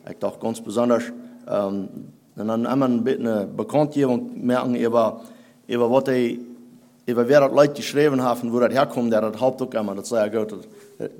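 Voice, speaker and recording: A man whose first language is Plattdeutsch, preaching a sermon in halting German that he mixes with English phrases. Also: mid-range voice, steady tone, darkish tone. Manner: halting, searching for German words, mixing in English.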